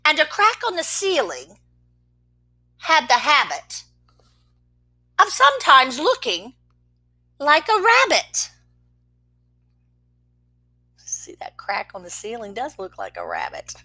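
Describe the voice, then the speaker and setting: Woman reading a picture book aloud, high voice, very slow, bright, lively pitch, expressive with whispered asides. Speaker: children's story reader.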